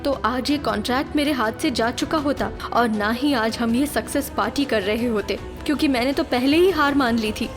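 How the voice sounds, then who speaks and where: A woman's voice: fairly high pitch, moderate pace, hardly any pauses, lightly acted. An actress playing a determined, wealthy young wife and businesswoman in an audio drama, earnest and assertive. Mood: warm, curious. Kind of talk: Hindi audio drama acting. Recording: music behind the voice.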